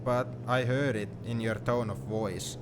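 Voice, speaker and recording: A man playing a young, sentimental and gently teasing best friend in an intimate audio roleplay, speaking in a conversational, reflective way. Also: mid-range voice, measured pace, natural voice. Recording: steady car noise behind the voice. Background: car ambience.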